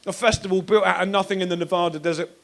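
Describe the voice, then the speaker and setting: A man doing a stand-up set in a comedy club, sardonic and sweary, shouting punchlines at the crowd on a handheld stage mic. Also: high voice, fairly even intonation, rapid-fire, vocal fry. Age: 30s. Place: dark comedy club stage with live audience.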